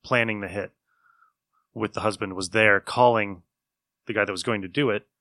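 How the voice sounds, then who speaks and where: Bright-voiced man, rambling enthusiast. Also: on the low side, fairly even intonation, moderate pace, dry recording, clean studio sound. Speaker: podcast host. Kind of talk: casual chat reviewing old radio shows.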